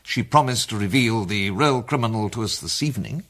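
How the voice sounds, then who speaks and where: A man playing a self-assured, theatrical gentleman detective in a radio drama, speaking formally and emphatically. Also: fairly low pitch, expressive, fairly quick. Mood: grandly formal. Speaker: amateur detective and club president. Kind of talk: British radio drama acting.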